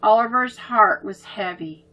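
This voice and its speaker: Female storyteller for children: gentle and expressive, bright tone. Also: medium pitch, a little slow, highs cut off, faint music behind.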